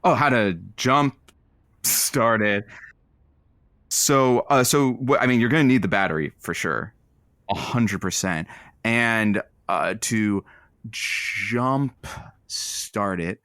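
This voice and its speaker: A man's voice: fairly low pitch, a bit bright, dry recording. An actor playing an eager, easily flustered stand-in radio host who bluffs his way along in a comedy audio drama. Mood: flustered bluffing. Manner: flustered, halting, eager to seem capable.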